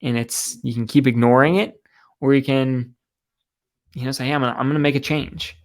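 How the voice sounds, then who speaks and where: A man's voice: medium pitch, steady tone, a little fast. A man co-hosting a podcast, talking casually and often checking in with "right?". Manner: conversational, lots of agreeing murmurs and tag questions. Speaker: podcast co-host.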